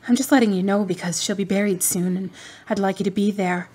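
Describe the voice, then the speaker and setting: A woman acting a grieving, stoic adult daughter in a radio drama, restrained and husky. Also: medium pitch, steady tone, fairly quick, dark tone, lightly acted. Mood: hurt, pleading.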